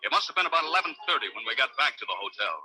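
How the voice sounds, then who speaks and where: An actor playing a tough, brisk American police detective in a clipped, hard-boiled 1940s radio serial style. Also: male voice, medium pitch, expressive, bright, forceful tone, faint music behind, low-quality recording. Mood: brisk narrating. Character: tough, brisk male police detective narrating his case. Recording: old 1940s radio recording.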